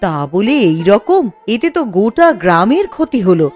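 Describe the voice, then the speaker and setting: A woman's voice: mid-range voice, big pitch swings, normal speed, darkish tone, faint music behind, lightly acted. A woman voicing a worried young village wife in a cartoon, anxious and fretting. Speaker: cartoon character.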